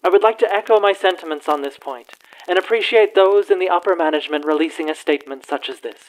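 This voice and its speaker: A performer playing an earnest radio news anchor in a radio play, with formal, measured, theatrical announcer phrasing. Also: mid-range voice, natural voice, low-quality recording. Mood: solemn, reassuring. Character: earnest troll radio news anchor hosting an evening broadcast.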